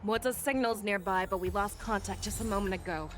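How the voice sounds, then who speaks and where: A woman's voice: medium pitch, brisk, faint music behind, natural voice. Game role: actress as an authoritative woman general giving orders, mid-range, controlled, light score underneath. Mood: commanding. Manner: firm, authoritative. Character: commanding, composed female military general. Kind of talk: anime video game acting.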